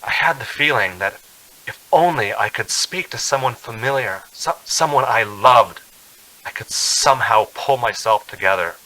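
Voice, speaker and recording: A man's voice: medium pitch, lively intonation, moderate pace, pushed and bright, rough recording. A man acting a frightened, desperate motorist in a radio drama, intense and anguished in delivery. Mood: haunted, brooding. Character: frightened, desperate young motorist haunted by a phantom hitchhiker.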